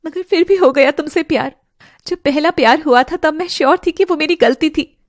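A woman voicing a young lovestruck fairy in an animated story, earnest, defiant and emotional. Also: high voice, dry recording, clean studio sound, natural voice. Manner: emotional, dramatic delivery.

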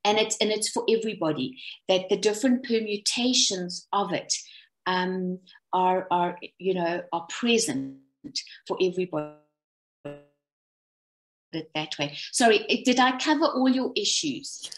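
A woman's voice: mid-range voice, unhurried, low-quality recording. Rambling woman on a video call. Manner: self-deprecating, rambling with many restarts and ums. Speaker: webinar presenter. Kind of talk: casual conversational talk.

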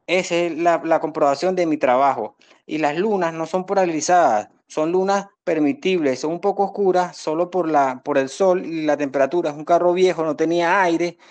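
Higher-voiced young Venezuelan man, explaining earnestly. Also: a little mellow. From Venezuela, born in Caracas. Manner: earnest, repetitive explaining with apologies to the judge. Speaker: defendant speaking at a court hearing. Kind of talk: spontaneous testimony, pleading his case. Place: indoors against a plain wall, on a video call. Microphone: phone at arm's length on video call.

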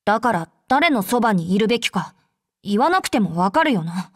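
A woman voicing a brash, tsundere young female android soldier in an anime game, with rough, blunt, tomboyish speech. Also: mid-range voice, big pitch swings, normal speed, no echo, studio quality. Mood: irritated. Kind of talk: Japanese anime game character voice lines.

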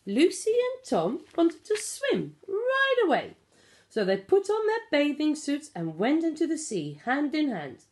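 Woman reading to little children, animated pitch. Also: a little slow. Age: middle-aged. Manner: expressive, explanatory, addressing small children with simple questions. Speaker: pre-school storyteller. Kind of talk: reading a picture book aloud to young children. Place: small living room at home. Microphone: phone or tablet at about arm's length.

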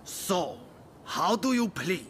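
An actor playing a severe, imperious judge with a harsh, commanding manner in a video game cutscene. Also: male voice, high voice, big pitch swings, takes it slowly, fairly bright tone, heavily acted. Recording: noisy background ambience.

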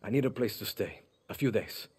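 Young reluctant revolutionary, very husky, blunt, sardonic, video game drama. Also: male voice, on the low side, fairly quick, darkish tone. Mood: guarded, defiant. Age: young adult. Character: weary, cynical young man, reluctant island revolutionary. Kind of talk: video game cutscene acting.

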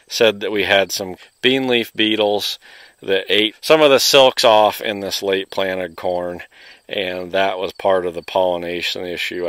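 A deep-voiced man with a Midwestern American accent walks through his field as he talks. His delivery is slow and unhurried, with an edge of brightness that carries in the open air. He is understated and hedges his judgments, speaking off the cuff. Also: clean tone, dry recording. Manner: understated, hedging. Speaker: farmer hosting a field video. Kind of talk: casual unscripted field walk-through. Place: outdoors in a cornfield. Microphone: handheld camera or phone, operator behind it.

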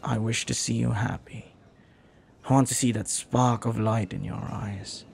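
Man acting as a slow, tender, lovelorn pirate captain. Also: on the low side, fairly even intonation, gravelly, a little hiss or hum. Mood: earnest, longing. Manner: soft, hesitant, earnest, lingering pauses. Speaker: audio roleplay performer. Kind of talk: intimate romantic audio roleplay acting.

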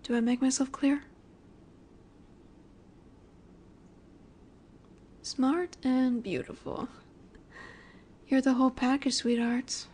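A woman performs a female mafia boss with a distinctly high voice. She is coy and self-assured, speaking deliberately to the listener in an audio roleplay. Menace sits under her flirtatious, teasing manner. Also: flat delivery, a little mellow, slightly rough. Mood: silky threatening.

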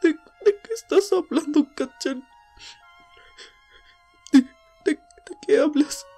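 A woman playing a desperate, grieving teenage boy hero in an anime fandub drama, pleading in halting, repeated phrases. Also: high-pitched, dark tone, faint music behind. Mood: panicked, tearful. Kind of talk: Spanish fandub audio drama, emotional acting.

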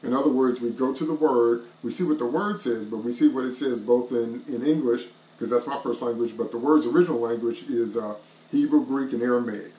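A middle-aged man delivers an online sermon with an emphatic, repeating preacher's cadence. He speaks into a laptop webcam from a small wood-paneled room. The sound carries a roomy echo around his mid-pitched voice. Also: fairly quick, mellow.

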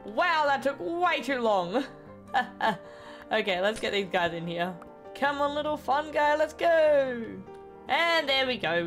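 A woman's voice: a little high, fairly bright tone. A young woman giving casual, chatty commentary while playing a game and narrating each step. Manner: chatty, upbeat, self-narrating play. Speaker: gaming channel host. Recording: faint background music.

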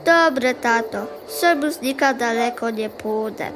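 High-pitched eager sheepdog puppy played by a woman. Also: takes it slowly, music in the background. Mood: meek. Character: eager, apologetic young male sheepdog puppy. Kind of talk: children's audio drama acting.

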